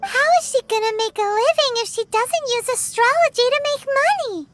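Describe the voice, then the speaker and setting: A woman voicing a tiny, childlike fairy companion in an anime game, squeaky, excitable and blunt. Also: normal speed, faint music behind. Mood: worried. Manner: speaks of herself in third person.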